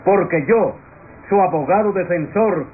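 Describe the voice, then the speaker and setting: An actor playing an earnest, courteous defense lawyer, declaiming formally in classic radio soap style. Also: male voice, high voice, a little slow, a little hiss or hum. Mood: resolute, apologetic. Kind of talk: classic Latin American radio soap acting. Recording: tinny old radio recording.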